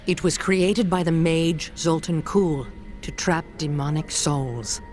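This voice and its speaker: A woman's voice: sits low, a little slow, darkish tone, soft background music. An actress playing a scheming witch mother in a video game cutscene, solemn and persuasive.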